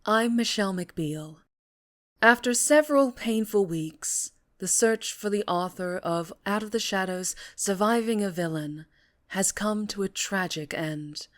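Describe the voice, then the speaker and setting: Woman acting a measured, solemn news anchor. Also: medium pitch, natural voice. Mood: solemn, formal. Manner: measured, formal, dramatic emphasis. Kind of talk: scripted news broadcast acting in audio drama.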